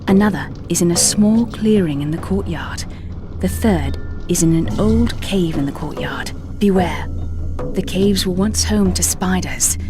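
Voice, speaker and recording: A woman playing a gentle, helpful wood elf ally in a video game, speaking calmly and carefully. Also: fairly low pitch, natural voice. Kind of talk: video game cutscene acting. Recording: clear music under the voice.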